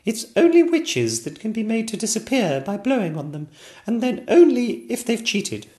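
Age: child. Figure: a man playing a young girl princess, clever and earnest. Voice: high and squeaky, swooping about. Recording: roomy echo. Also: sing-song, normal speed, a little mellow.

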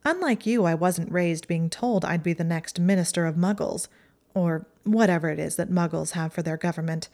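A woman voicing a haughty, sharp-tongued teenage witch girl in a storybook reading, with a mocking, high-reaching delivery. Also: normal speed, natural voice. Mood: bitter. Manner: mocking, acidic, voice rises high.

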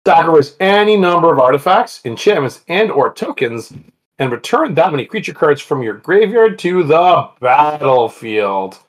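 A man with a fairly high speaking voice hosts a casual podcast from home, talking with a co-host over the internet. He chats eagerly and breaks into laughs along the way. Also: very animated, moderate pace. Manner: chatty, laughs often, enthusiastic.

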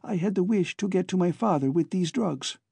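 A man's voice: high voice, lively intonation, fairly quick, vocal fry, warm tone, breathy edge, no echo, pitched above the performer's own voice, exaggerated voice. A man voicing a young woman who speaks swiftly and vehemently in slightly broken English. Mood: urgent.

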